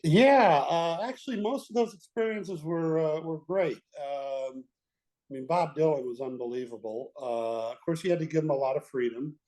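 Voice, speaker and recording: An older man reminiscing as an interview guest, telling his stories in a halting, measured way. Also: fairly high pitch, darkish tone, low-quality recording. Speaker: guest, veteran radio executive.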